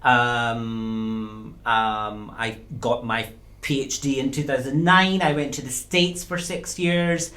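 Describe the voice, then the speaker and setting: A man's voice: medium pitch, steady tone, sharp tone. Thoughtful male lecturer. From Scotland. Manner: thoughtful, measured, explains with pauses and fillers. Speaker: university lecturer, guest. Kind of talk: interview. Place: small studio.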